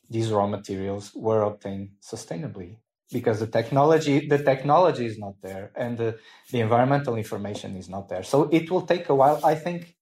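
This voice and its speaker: A man giving measured expert answers in a panel discussion, with careful pauses. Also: lowish voice, a little mellow. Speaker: expert guest.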